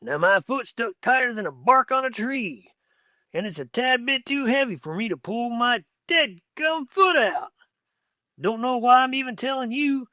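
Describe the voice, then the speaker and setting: Shrill, mocking old mountain lion. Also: male voice, animated, normal speed, no echo, clean studio sound, full character voice. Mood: frustrated, grumbling. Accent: rural Southern US. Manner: mocking, loud, folksy drawl. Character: mean, teasing old mountain lion, folksy bully.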